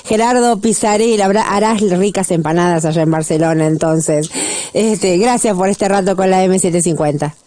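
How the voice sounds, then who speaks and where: A woman with an Argentine accent hosts a radio talk. Her voice is mellow and dark, recorded dry and close. She asks conversational questions and fills the pauses with short agreements. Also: on the low side, no echo. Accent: Argentine Spanish. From Tucumán, Argentina. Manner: brief backchannels, conversational questions. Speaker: radio host. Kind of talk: radio interview.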